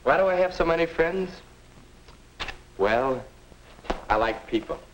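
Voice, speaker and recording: A man's voice: a little high, lively intonation, measured pace, highs cut off. A young man playing a friendly, sociable student, speaking sincere scripted lines in a 1950 instructional film. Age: young adult. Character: friendly, sociable high school boy.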